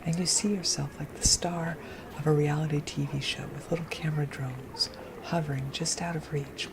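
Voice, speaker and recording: A woman leading a guided meditation in a gentle, unhurried, breathy voice that often nears a whisper. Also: deep voice, noisy background. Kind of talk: guided meditation, slow soothing narration. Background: meditation music.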